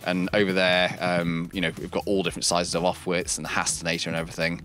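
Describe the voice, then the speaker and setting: Low-voiced man giving a cellar tour. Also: completely flat delivery, fast talker, fairly bright tone. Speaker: climber showing his training cellar. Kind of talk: casual walkthrough explanation. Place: cramped wood-lined cellar indoors.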